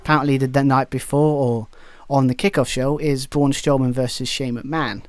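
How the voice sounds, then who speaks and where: A man's voice: medium pitch, fairly even intonation, normal speed, dry recording. British guy rambling about wrestling on a podcast, keeps correcting himself, dry mic. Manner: rambling, self-correcting, opinionated. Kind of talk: casual chat.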